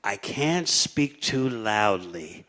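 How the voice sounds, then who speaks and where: Man putting on a hushed, confiding god. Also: lively intonation, very slow, heavily acted. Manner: lowered, whispering confidential tone.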